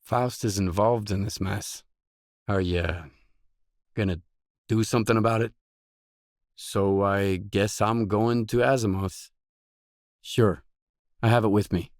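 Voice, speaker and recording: An actor voicing a gruff, guarded stalker mercenary in a video game, blunt, terse and no-nonsense. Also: male voice, fairly low pitch, fairly even intonation, slow pace, pauses often, no room sound, clean studio sound. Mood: terse, businesslike. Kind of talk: video game dialogue lines.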